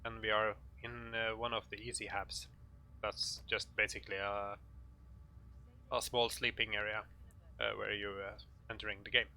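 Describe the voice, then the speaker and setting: A man with a Norwegian accent giving an informal game tutorial in English, speaking hesitantly in halting phrases. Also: lowish voice, little pitch movement, pushed and bright, clear, resonant tone. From Norway. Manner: hesitant, halting delivery with pauses. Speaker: video tutorial narrator.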